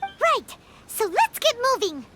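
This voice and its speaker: A woman's voice: extremely high pitch, big pitch swings, slow, heavy background noise, heavily acted. A woman voicing a small, childlike fairy companion in an anime game, perky and exclamatory. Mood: eager surprise. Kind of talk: English anime game voice acting.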